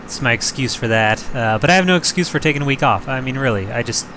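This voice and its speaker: Young male let's-play host, mid-pitched, slightly hoarse and rough, rambling self-mocking chat over faint game noise. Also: expressive, quick pace. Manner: rambling, self-joking, thinks aloud. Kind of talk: casual gameplay commentary.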